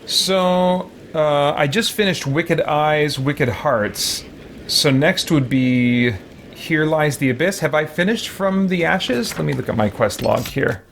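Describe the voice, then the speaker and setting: A man doing casual let's play commentary as a streamer, musing and thinking out loud. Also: on the high side, takes it slowly, gravelly, a little hiss or hum. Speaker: gaming streamer.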